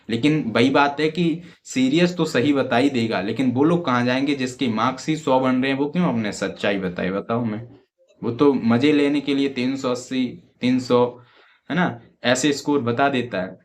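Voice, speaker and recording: A man giving informal, emphatic advice to students as an online exam educator, repeating points and asking rhetorical questions. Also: mid-range voice. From Uttar Pradesh, India. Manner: emphatic, repetitive, rhetorical questions, frequent check-in tags. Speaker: exam-prep YouTube educator.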